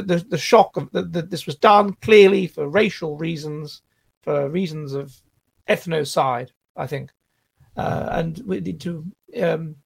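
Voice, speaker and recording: Male livestream guest, halting, repeats words, thinks aloud, slightly high voice. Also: vocal fry, slightly rough. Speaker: guest answering viewer questions. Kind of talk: casual livestream chat.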